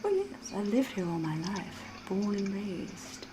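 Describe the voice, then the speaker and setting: A woman acting as ancient vampire woman, ASMR roleplay, very slow, soft whispery voice, coy and ominous, noisy ambience behind. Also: mid-range voice, very animated. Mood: coy, flattered. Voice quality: breathy, soft, often whispering. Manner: coy, deliberate, ominous, theatrical, drawn-out phrasing.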